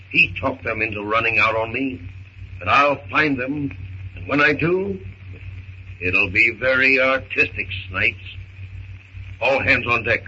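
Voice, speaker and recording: An actor playing a ruthless, vengeful ship's captain with hard-bitten, sardonic menace in a 1930s radio serial. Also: male voice, medium pitch, bright, forceful tone. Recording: muffled, hissy 1930s radio recording.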